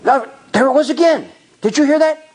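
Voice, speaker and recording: A man's voice: very high-pitched, fairly quick, darkish tone, pitched above the performer's own voice. A man voicing a frightened, uneasy young woman in a spooky told story, nervous and exclaiming. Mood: startled, alarmed.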